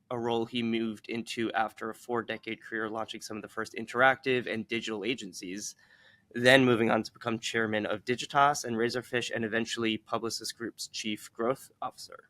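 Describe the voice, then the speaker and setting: A man's voice: medium pitch, bright, forceful tone, no echo. A man hosting an interview, introducing his guest and posing questions in a conversational style. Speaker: interview host.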